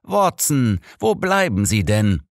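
A man voicing a brisk, impatient, probing elderly detective in an audiobook. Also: medium pitch, sing-song, creaky, no room sound, studio quality, pitched above the performer's own voice, full character voice.

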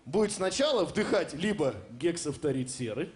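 A man's voice: a little high, lively intonation, moderate pace. A middle-aged man co-hosting a comedy show, explaining things in a mock-serious, joking way into a handheld microphone. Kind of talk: comic explanation and banter on stage. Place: television studio stage with audience. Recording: stage mic with faint studio noise.

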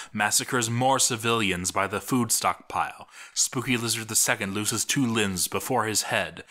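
Male narrator for a game story series, lowish pitch, bright forceful tone, theatrical mock-epic delivery. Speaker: narrator of a video game let's read series. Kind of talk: dramatic scripted narration of game events.

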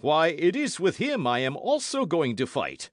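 A man voicing a gigantic, vain, blustering musketeer who talks loudly in an adventure story. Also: a little high, wildly swooping pitch, slow pace, slightly rough, no room sound, studio quality, voice pushed up, heavily acted. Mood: blustering, flustered.

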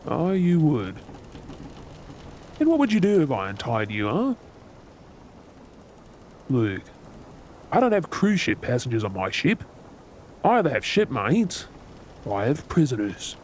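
Man acting gruff pirate captain, swinging pitch. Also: a little mellow, slight background noise. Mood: stern, wary. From Australia. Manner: sardonic, brooding, dramatic pauses. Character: gruff, wounded male pirate captain, bitter yet tender. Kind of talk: ASMR role-play drama acting.

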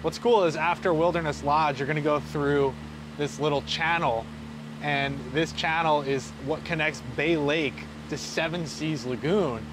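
A man's voice: on the high side, fairly even intonation, unhurried, music in the background, poor audio. A man chatting casually as a vlog companion out on a boat, easygoing and joking as he points out sights. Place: outdoors on a boat on a lake. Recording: outdoor recording with motor hum.

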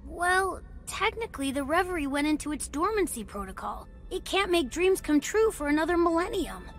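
A woman's voice: very high-pitched, lively intonation, moderate pace, soft background music. A woman voicing an earnest, brainy little boy fox inventor in a cartoon video game, eager and explanatory. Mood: explaining.

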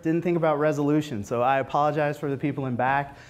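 A man in his forties presenting informally at a tech meetup, conversational, with animated asides, speaking into a lapel mic. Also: fairly high pitch, fast. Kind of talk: informal technical presentation with demos. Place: meetup room, standing before a small audience.

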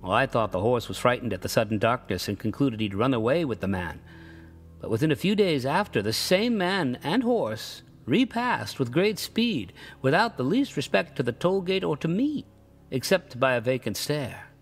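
A man's voice: medium pitch, sing-song, moderate pace, husky, faint music behind. A man voicing a stubborn male toll-gatherer who tells a tall tale in a storybook reading.

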